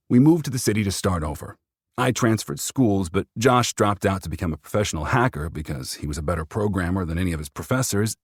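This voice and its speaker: A man's voice: fairly low pitch, husky, no room sound, studio quality. A man reading first-person fiction as an audiobook narrator, performing the text in character.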